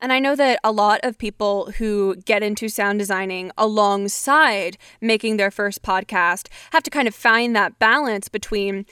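A woman's voice: medium pitch, normal speed, hardly any pauses, sharp tone, clear, resonant tone, no echo, clean studio sound. A woman hosting a podcast, reading scripted narration and asking interview questions. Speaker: podcast host.

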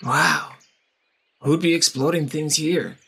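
A man's voice: on the high side, steady tone, takes it slowly, vocal fry, a bit bright, slightly rough, pitched above the performer's own voice, heavily acted. A man voicing a dim-witted teenage half-orc boy who rambles hesitantly and fills his talk with fillers.

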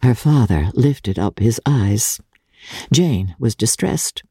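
A woman narrating classic literature in a measured, dramatic storytelling manner. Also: extremely low pitch, expressive, dark tone, husky, dry recording, studio quality. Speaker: audiobook narrator.

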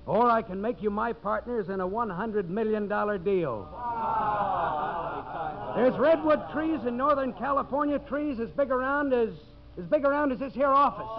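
An actor playing a tough, glib, smooth-talking timber boss in a 1950s radio drama. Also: male voice, extremely high pitch, moderate pace, heavy background noise. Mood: rallying, persuasive. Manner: glib, brash. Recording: muffled old radio recording.

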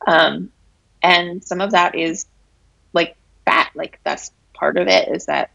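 A hoarse, raspy woman in her late twenties speaks candidly in a conversational interview. Her voice sits very low and gravelly, with a breathy, husky edge. The sound is close and dry, with no room around it. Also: steady tone, slow, fairly bright tone, dry recording, highs cut off. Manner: frank, reflective, many fillers and laughs. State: hoarse from allergies. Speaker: interview guest.

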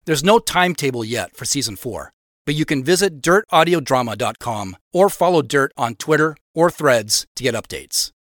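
A man's voice: mid-range voice, expressive, moderate pace, a bit bright, no room sound, studio quality. A man giving a scripted announcement to listeners as host of an audio drama.

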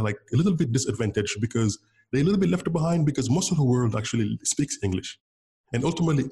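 A man's voice: mid-range voice, a little mellow. A man from Senegal whose first language is Wolof, a guest on an interview, talking casually with many fillers and restarts. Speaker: interview guest, bitcoin developer.

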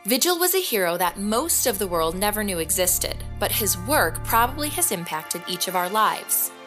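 A woman's voice: mid-range voice, very animated, moderate pace. A woman hosting and narrating a scripted podcast in a conversational way. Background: music.

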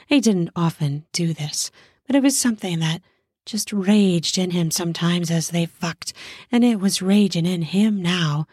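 A woman narrating a scripted erotic story in an intimate, deliberate manner with drawn-out phrasing. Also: fairly low pitch, darkish tone, breathy edge, no room sound, clean studio sound.

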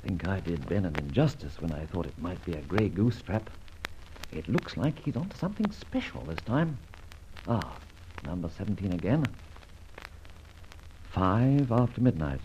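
An actor playing a suave, cool-headed gentleman adventurer and safecracker in an old radio serial, speaking in a debonair, unhurried way. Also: low voice, very animated. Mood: alarmed. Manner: debonair, unhurried, old-fashioned British-style exclamations. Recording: muffled, hissy vintage radio recording.

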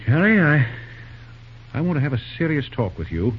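An actor playing a stern, pompous middle-aged railroad president and disapproving father in an old-time radio drama, formal and halting. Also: on the high side, very animated, slightly rough. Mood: stern, indignant. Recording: dull old radio show recording.

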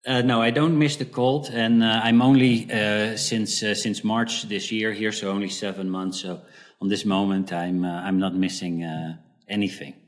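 Brief: Dutch-accented man, fairly low pitch, halting, frequent uhs. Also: level tone. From Netherlands. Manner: hesitant, many uhs and restarts. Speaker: podcast guest, dream expert. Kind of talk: casual interview talk.

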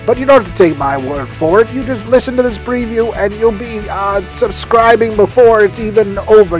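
A man with a fairly high voice speaks as a podcast host over soft music. He rambles whimsically and enthusiastically in a casual, comic promotional monologue. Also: rough recording. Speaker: podcast host recommending audio dramas.

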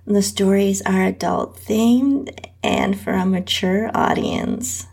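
Female narrator for adult audio fiction: very slow, drawn-out delivery, heavy vocal fry, breathy tone. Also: medium pitch, steady tone, darkish tone. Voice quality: husky, breathy. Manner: slow, drawn-out reading with whispery dips. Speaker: host and story narrator. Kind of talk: scripted first-person erotic story narration.

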